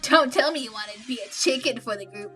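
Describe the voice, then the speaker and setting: A man's voice: very high-pitched, fairly quick, sharp tone, faint music behind. A woman voicing an enthusiastic, overconfident young man who teases and pushes his friends in a visual novel. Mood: mocking.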